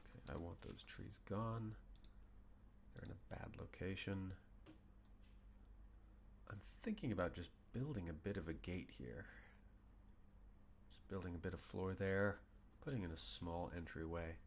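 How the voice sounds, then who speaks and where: A man's voice: low-pitched, unhurried, pauses often, a little hiss or hum. A man in his thirties streaming a strategy game from a small room at home, muttering and thinking aloud as he plays. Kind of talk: casual gameplay commentary. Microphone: desk mic with webcam.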